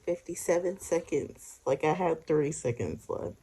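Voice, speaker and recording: An expectant mother chatting casually at home in a home vlog, leaning on "like" as she talks, sometimes speaking softly to her unborn baby. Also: low-pitched, lively intonation, a little slow, slightly rough. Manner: chatty, leans on "like" a lot.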